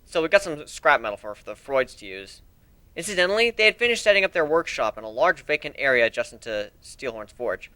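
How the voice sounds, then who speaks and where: A man giving an expressive, theatrical first-person narration as an amateur dramatic story reader. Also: mid-range voice, fairly quick, no echo.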